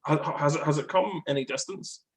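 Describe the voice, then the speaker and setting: A man's voice: on the high side, a little fast. A man from Northern Ireland, a stand-up comic, chatting casually over a video call, rambling with frequent laughs and repeated words.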